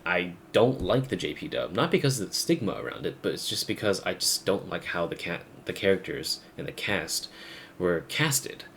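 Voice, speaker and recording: A man's voice: sits low, expressive, normal speed, vocal fry, fairly bright tone. A young man giving a casual, blunt, rambling, profane rant as a gaming video creator. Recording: faint background noise.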